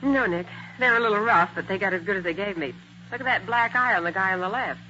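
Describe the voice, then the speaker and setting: An actress playing a plucky, spirited female detective's assistant in a 1940s radio drama, feisty and quick. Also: medium pitch, bright, forceful tone, lightly acted. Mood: relieved, spirited. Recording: muffled, hissy old radio recording.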